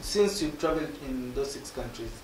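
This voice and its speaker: A man's voice: mid-range voice, animated, normal speed. A male journalist asking interview questions, often stumbling over and repeating his opening words. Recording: roomy with faint background noise.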